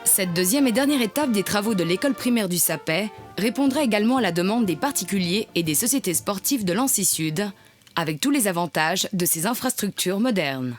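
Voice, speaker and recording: A woman's voice: mid-range voice, lively intonation, fairly quick, faint music behind. A woman reading scripted narration for a local news report.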